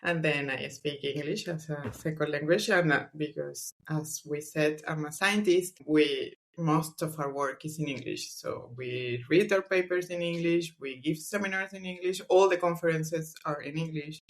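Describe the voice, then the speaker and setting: A woman's voice: low-pitched, moderate pace, few pauses. A woman whose first language is Spanish, a scientist guest on a podcast, speaking English in a thoughtful, hesitant way and often trailing off. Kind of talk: casual book club discussion.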